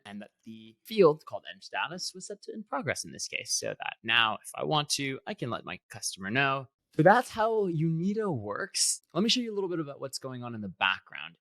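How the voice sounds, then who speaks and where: Lively, bright-voiced man giving a software walkthrough. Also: medium pitch, gravelly, no echo. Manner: explanatory, step by step. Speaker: software product demonstrator. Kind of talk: conversational tutorial walkthrough.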